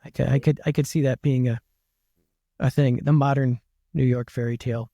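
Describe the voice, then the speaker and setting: A man with a mellow, relaxed voice interviews a guest in a casual way. His questions ramble and circle tentatively. The sound is very dry, with no noticeable room. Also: medium pitch, normal speed, creaky, no room sound, lo-fi sound. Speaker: interviewer, host.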